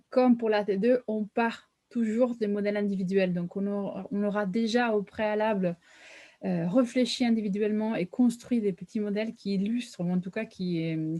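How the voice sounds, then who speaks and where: A woman's voice: mid-range voice, mellow. A woman with an Italian accent co-presenting a webinar, explaining a workshop technique fluently.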